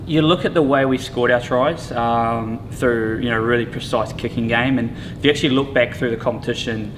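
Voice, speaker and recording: Man, head coach, casual interview, halting speech, steady mid voice, rumbly noise behind. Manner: relaxed, halting, many fillers and restarts.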